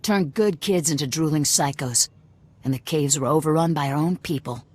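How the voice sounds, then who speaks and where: A woman's voice: low voice, normal speed, darkish tone, slightly rough. A woman playing a fanatical rebel cell commander in a video game, blunt, curt and threatening. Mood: hard, businesslike.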